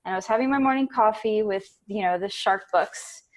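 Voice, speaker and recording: A woman's voice: medium pitch, fairly quick. A woman from Puerto Rico co-hosting a podcast, talking in a casual, rambling and effusive way. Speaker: podcast co-host. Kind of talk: casual chat.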